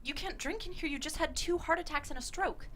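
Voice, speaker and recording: A woman's voice: high-pitched, fairly quick, a bit bright, slight background noise. A woman acting a bossy, no-nonsense hospital nurse in a comedy table read. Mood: scolding.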